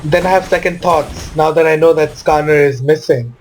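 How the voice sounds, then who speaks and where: A man's voice: fairly high pitch, normal speed. A man giving casual commentary over his own video game match. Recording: noticeable background noise.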